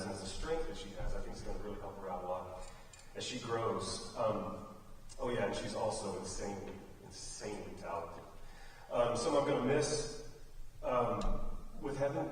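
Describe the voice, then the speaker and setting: American man speaking in a very echoey hall. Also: on the low side. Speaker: theater director giving tributes to students. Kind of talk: informal speech honoring senior students. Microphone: distant microphone. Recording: very echoey hall, distant mic, faint noise.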